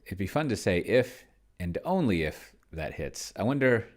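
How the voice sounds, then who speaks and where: A man giving casual think-aloud commentary on a solo tabletop game, halting and muttering to himself in a gravelly voice. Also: fairly low pitch, big pitch swings. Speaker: solo tabletop gamer, video host.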